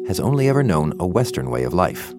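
Deep-voiced male narrator with expressive delivery. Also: fast talker, music in the background. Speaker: podcast narrator and journalist. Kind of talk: scripted narration.

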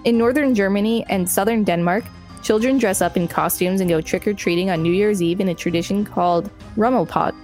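A woman's voice: mid-range voice, brisk, a little mellow, faint music behind. A woman co-hosting a podcast, reading an article aloud and chatting casually. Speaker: podcast co-host.

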